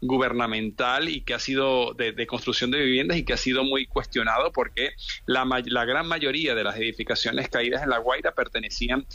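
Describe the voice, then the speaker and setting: Reporter guy, bright tense voice, keeps repeating words, dry sound. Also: mid-range voice, no echo, lo-fi sound. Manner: halting, many fillers and repeated words. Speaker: correspondent reporter. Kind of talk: live news report.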